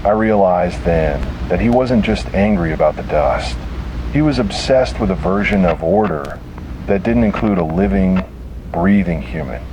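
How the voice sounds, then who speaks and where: A man delivering scripted first-person horror narration in a grave, dramatic manner with deliberate pauses. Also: deep voice, animated, noisy background.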